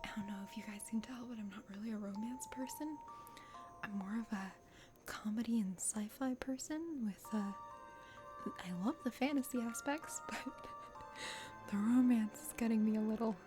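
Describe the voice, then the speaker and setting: A woman's voice: a little high, normal speed. A woman playing a game for an ASMR audience, often whispering, with giggly, wry asides. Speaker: game streamer and ASMR performer. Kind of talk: ASMR let's play commentary, often whispered. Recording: music behind. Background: game music.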